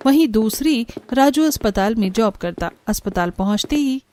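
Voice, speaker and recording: A woman narrating an animated story from a script, with music underneath. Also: a little high, normal speed, a little hiss or hum.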